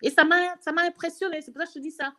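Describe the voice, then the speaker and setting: A woman in a live discussion, reflecting casually and personally in a halting way, searching for her words. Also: extremely high pitch, fairly even intonation, bright, forceful tone, slight background noise.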